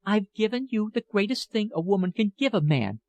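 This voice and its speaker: A man's voice: high voice, expressive, brisk, a little mellow, highs cut off, pitched above the performer's own voice. A man voicing a tearful, clinging older woman. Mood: reproachful. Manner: pleading, tearful.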